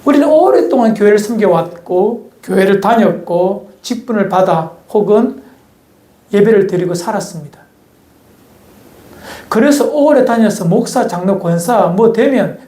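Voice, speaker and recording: Gyeongsang-accented pastor in an echoey church. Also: male voice, sits high, animated, moderate pace, mellow. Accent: Gyeongsang Korean. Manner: folksy, asks the congregation questions. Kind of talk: sermon. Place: church sanctuary.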